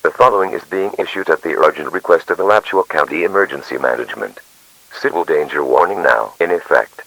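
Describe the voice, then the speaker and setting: A man delivers a scripted emergency alert in an extremely low, gravelly voice. His pitch moves up and down noticeably as he reads, and the sound is close and dry. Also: a little fast, a bit bright, no echo, rough recording.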